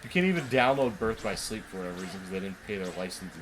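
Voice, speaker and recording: Fast-talking man with a lowish voice. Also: expressive, a bit bright, a little hiss or hum, low-quality recording. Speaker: gaming show co-host. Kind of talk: casual chat commentary over video game.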